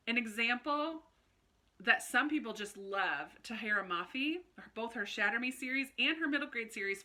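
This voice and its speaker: A woman's voice: mid-range voice, sharp tone. A woman talking casually and chattily to viewers as a book vlogger, with an informal manner. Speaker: book vlogger, channel host.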